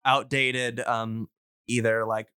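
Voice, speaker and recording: A man chatting casually as he explains things like a podcast guest, halting and groping for words. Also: mid-range voice, level tone, bright, forceful tone, clean tone, no room sound, clean studio sound. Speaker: podcast guest explaining old radio shows.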